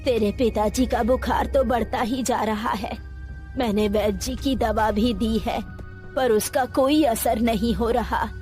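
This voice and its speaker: A woman's voice: a little high, fairly even intonation, darkish tone, lightly acted. Woman playing Shanti Kaki, anxious old village mother. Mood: worried. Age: older. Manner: slow, worried pleading. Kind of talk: storybook voice acting. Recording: faint music underneath.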